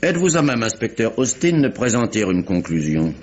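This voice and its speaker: An actor playing a stern, formal British magistrate in a 1950s-style French radio mystery, measured and authoritative. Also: male voice, lowish voice, sing-song, darkish tone. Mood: probing, formal. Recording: dull, noisy old radio recording.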